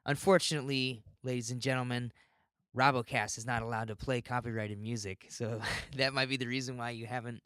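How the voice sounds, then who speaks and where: A man's voice: mid-range voice, steady tone, a little fast, fairly bright tone, clear, resonant tone, no room sound, studio quality. A man hosting a podcast in a casual, rambling monologue, informal and given to ranting and swearing. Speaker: podcast host.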